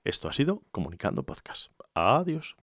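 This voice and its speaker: A man's voice: lowish voice, big pitch swings, normal speed. A man from hosting a podcast alone in an informal, conversational, explanatory way. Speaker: podcast host. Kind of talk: conversational solo podcast monologue.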